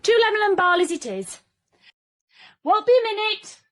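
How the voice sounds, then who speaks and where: A breezy young Northern English office assistant in radio comedy. Her high voice bounces up and down, flippant and cheeky. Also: sing-song, moderate pace, a bit bright, full character voice. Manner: flippant, offhand. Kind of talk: comic radio drama acting.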